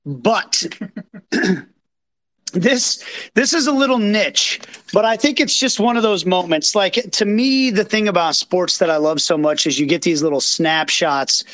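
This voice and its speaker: A hoarse man from Kansas City hosting a live post-match reaction, talking in an excited, rambling, self-deprecating way from a green room backstage. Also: sits high, a little slow. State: hoarse from yelling. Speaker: podcast host. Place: green room behind an outdoor stage. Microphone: phone.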